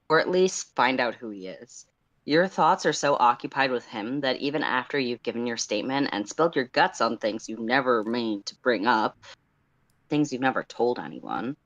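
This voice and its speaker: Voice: mid-range voice, fairly bright tone, low-quality recording. A story narrator of unclear sex with a mid-range voice. They read fan fiction aloud and talk casually as a co-host of a stream.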